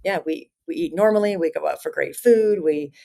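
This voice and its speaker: A woman's voice: low voice, moderate pace, gravelly, mellow. A woman in her fifties, a guest on a podcast interview, talking earnestly in long, run-on thoughts. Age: 50s. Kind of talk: podcast interview, casual conversation.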